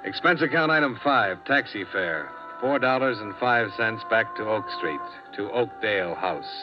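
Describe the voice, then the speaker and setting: A hard-boiled investigator , played by an actor, speaks in a low, tired voice with clipped lines. Faint music sits under the dull sound of an old radio transcription. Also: male voice, lively intonation, moderate pace, lightly acted. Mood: weary. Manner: terse hard-boiled narration, dry and tired. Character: world-weary male insurance investigator. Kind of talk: 1950s radio detective drama.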